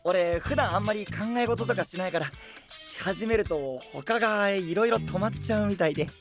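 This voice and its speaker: A man's voice: sits high, normal speed. A man playing an earnest, easygoing young hero in an anime voice drama, with a casual, open, boyish delivery. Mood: friendly, earnest. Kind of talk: Japanese anime voice drama acting. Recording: music behind the voice.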